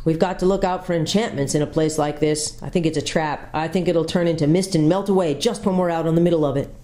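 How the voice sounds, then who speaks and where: A woman's voice: sits low, fairly even intonation, quick pace, mellow, lightly acted. A woman voicing a gloomy, cautious male marsh creature who guides the children. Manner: stiff and guarded, pessimistic.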